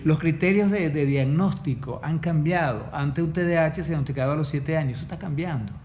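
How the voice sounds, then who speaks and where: Calm, clinical man. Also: on the high side, a little fast, a crowd in the background. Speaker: guest specialist. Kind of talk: podcast interview.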